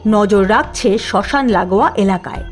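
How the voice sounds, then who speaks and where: A woman narrating a cartoon story and reading out listener names and a quiz question in a deliberate, scripted manner. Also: medium pitch, faint music behind. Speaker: narrator and channel host.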